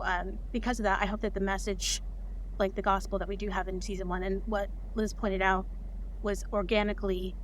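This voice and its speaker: A woman talks as a guest in a relaxed interview. Her voice sits at an average pitch, and she speaks earnestly, pausing to find her words. A faint ambient noise runs behind her. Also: rough recording. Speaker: interview guest, audio drama co-creator. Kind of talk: casual interview chat.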